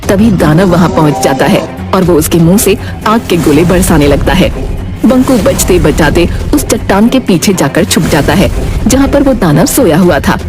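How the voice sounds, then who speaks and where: A woman's voice: on the low side, animated, moderate pace, music in the background. A woman storyteller giving scripted narration of a Hindi tale.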